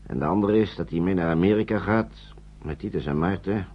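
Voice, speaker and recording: A very low, husky-voiced man in a wartime radio drama, earnest and persuasive. He picks his words deliberately as he protects children in his care. The old recording sounds dull. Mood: earnest, measured. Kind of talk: Dutch radio play acting.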